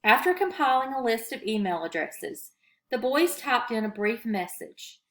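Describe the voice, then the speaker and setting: A woman narrating a children's story aloud in an expressive, read-aloud storyteller's style. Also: on the high side, moderate pace.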